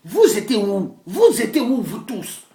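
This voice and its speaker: A man speaks as a preacher-activist in a fiery, declamatory monologue. His pitch swings widely as he boasts and hammers phrases by repeating them. The sound is echoey, as in a bare room. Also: huge pitch swings, measured pace, warm tone. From Congo-Brazzaville. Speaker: self-styled pastor and political activist. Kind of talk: impassioned political monologue.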